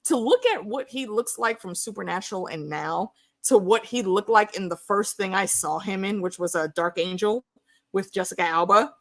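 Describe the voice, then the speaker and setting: A woman in her early forties talking casually as a livestream host, animated and slangy, swearing freely and wandering off on tangents. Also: medium pitch, moderate pace. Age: early 40s. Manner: animated, slangy, profane, digressive. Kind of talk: casual, unscripted fan discussion chat.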